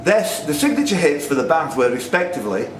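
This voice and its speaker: Animated quiz master, echoey noisy room. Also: male voice, a little high, fast talker. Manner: teasing, jokey. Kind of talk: live pub-style quiz hosting with banter. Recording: roomy, noisy recording from a distance.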